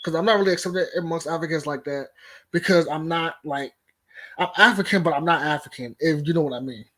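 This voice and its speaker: A first-generation American man of Nigerian descent joins a casual panel talk. He speaks in an animated way and often says "you know what I'm saying". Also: a little high, brisk. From United States. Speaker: panel guest.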